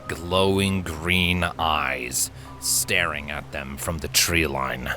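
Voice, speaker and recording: A man reading a story as an audiobook narrator, with dramatic, suspenseful and deliberate phrasing. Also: low-pitched, bright, forceful tone.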